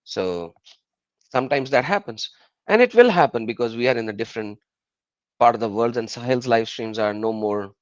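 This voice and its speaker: A man whose first language is Urdu, hosting a live call-in stream in casual English. He explains with many fillers and an animated delivery. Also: medium pitch, no echo, highs cut off.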